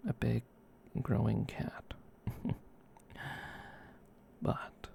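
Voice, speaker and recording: A performer voicing a gentle, comforting giant catboy in a soft, halting ASMR roleplay whisper. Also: medium pitch, monotone, heavily acted. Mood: reflective, reassuring. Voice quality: soft breathy whisper with creaky edge. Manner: soothing, halting rambles with cutesy verbal tic.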